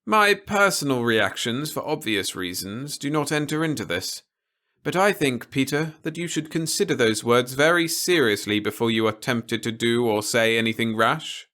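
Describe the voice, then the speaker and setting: A man voicing a stern, articulate male official in an audiobook, with emphatic, deliberate, formal phrasing. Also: medium pitch, lively intonation, bright, forceful tone, voice pushed up. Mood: grave, measured. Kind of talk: audiobook character dialogue.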